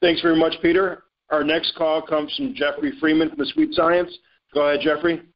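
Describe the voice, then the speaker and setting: A man's voice: a little high, little pitch movement, normal speed, a little hiss or hum. A man moderating a telephone conference call with formal announcements. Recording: dull lo-fi conference phone line.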